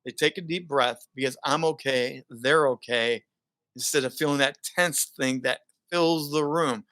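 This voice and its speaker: A man's voice: medium pitch, unhurried. A middle-aged man, a broadcaster and speaker, telling stories in a casual interview and laughing often. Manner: animated storyteller, laughs often. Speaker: guest, broadcaster and keynote speaker.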